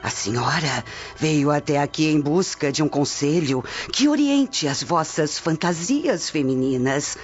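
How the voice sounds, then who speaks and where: An actress playing a greedy old Roman sorceress in a radio drama, speaking in a formal, oracular manner. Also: female voice, deep voice, lively intonation, measured pace, few pauses. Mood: stern warning. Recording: dull lo-fi radio drama recording with music. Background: music.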